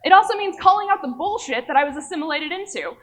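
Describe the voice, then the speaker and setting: A young woman from Oklahoma speaks from a stage in a fairly high voice. She tells her story in a rehearsed way and pokes fun at herself as she goes. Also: lively intonation, quick pace, a bit bright. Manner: wry, self-mocking humor. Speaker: speaker at a live event. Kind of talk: rehearsed personal talk. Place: stage before an audience.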